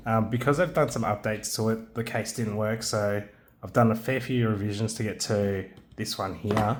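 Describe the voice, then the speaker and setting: Man, fairly low voice, easygoing hands-on gadget walkthrough, halting delivery with ums. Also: slight background noise. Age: adult, has a young son. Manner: relaxed, hesitant, many ums and restarts. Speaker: maker showing a radio kit. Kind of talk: casual hands-on product demo.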